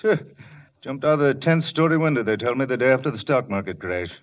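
A man's voice: medium pitch, animated, fast talker, lightly acted. Man playing folksy, rambling radio cop neighbor. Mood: affable. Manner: rambling, colloquial, chuckling, hesitant openers. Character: chatty, folksy off-duty police sergeant, nosy neighbor. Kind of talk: 1940s-50s old-time radio acting. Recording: dull old radio broadcast recording.

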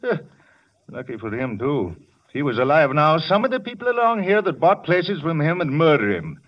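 Folksy, rambling radio cop neighbor. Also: male voice, fairly high pitch, very animated, normal speed. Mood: affable. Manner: rambling, colloquial, chuckling, hesitant openers. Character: chatty, folksy off-duty police sergeant, nosy neighbor. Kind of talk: 1940s-50s old-time radio acting. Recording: dull old radio broadcast recording.

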